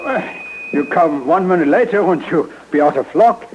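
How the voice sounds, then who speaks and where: A man's voice: a little high, expressive, moderate pace, natural voice. An actor playing a fussy, obliging foreign-sounding shopkeeper in old radio style, hesitant and repeating his words. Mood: apologetic, puzzled. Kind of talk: old-time radio suspense acting. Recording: muffled, noisy old radio broadcast.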